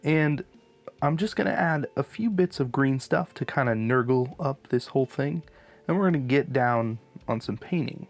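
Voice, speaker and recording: A man's voice: medium pitch, expressive, moderate pace, creaky. A man hosting a hobby channel, giving a casual, informal voice-over tutorial in a laid-back manner. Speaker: host of a miniature hobby channel.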